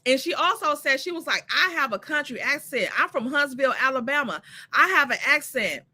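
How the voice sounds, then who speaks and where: Bright, sassy woman host. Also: high voice. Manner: sassy, exasperated, laughs often. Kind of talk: casual live commentary on reality drama.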